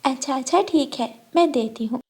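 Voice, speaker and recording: Cartoon mother bird played by a woman, higher register, patient, gentle, coaxing and motherly. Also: moderate pace, slightly rough. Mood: indulgent.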